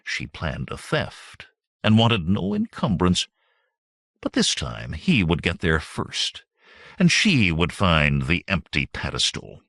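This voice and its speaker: Male narrator, low pitch, highly varied intonation, scripted fiction storytelling, dry close sound. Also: huge pitch swings, slow, vocal fry, fairly bright tone, no echo. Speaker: audiobook narrator.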